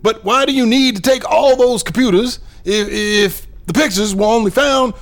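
A man's voice: high-pitched, normal speed, a bit bright. A man playing an uneasy, gullible office boss in an audio drama, talking informally and hesitantly. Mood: wary, hesitant.

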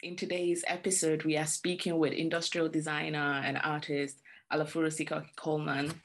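A woman's voice: deep voice, little pitch movement, a little fast. A woman co-hosting a podcast, reading a scripted intro and then asking a long, hesitant question full of fillers. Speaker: podcast co-host, collections assistant.

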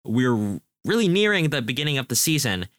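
A man's voice: medium pitch, sing-song, fairly quick, fairly bright tone, no echo, studio quality. A young man co-hosting a casual soccer preview, talking enthusiastically and rambling with frequent restarts. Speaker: podcast co-host.

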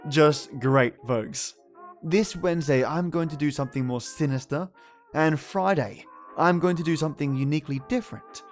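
Male podcaster, casual commentary, chatty matey address, lightly high pitch, faint music bed. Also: moderate pace. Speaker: podcast host.

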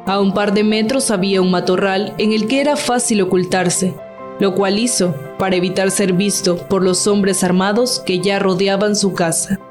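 A woman's voice: medium pitch, fairly even intonation, normal speed, darkish tone. Woman narrating radio drama over music.

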